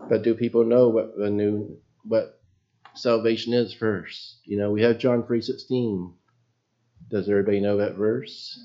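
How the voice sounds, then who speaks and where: Low-voiced man preaching in a small church. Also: muffled recording. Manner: folksy, loses his place and apologizes. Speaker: lay preacher. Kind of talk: informal sermon with prayer and scripture reading. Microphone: PA microphone.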